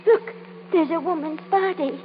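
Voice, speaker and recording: A woman's voice: very high voice, normal speed. An actress playing an anxious, superstitious older woman in a fearful, breathless, hesitant 1950 radio mystery style. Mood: frightened. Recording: muffled, hissy old radio recording.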